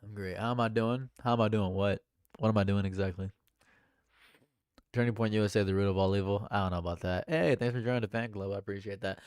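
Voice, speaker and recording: A young man with a stuffed-up nose, reading chat comments on a livestream in a dry, deadpan way. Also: lowish voice, fast, smooth, no echo. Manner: dry and deadpan, laughs at own jokes. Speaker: livestream host. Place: home room.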